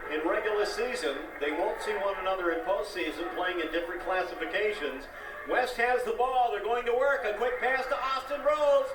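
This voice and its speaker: An American man calling live play-by-play as a radio sportscaster, excited and projecting in punchy short phrases, on an archive broadcast clip. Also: high-pitched, a little fast, reverberant, recorded from a distance, music in the background, poor audio.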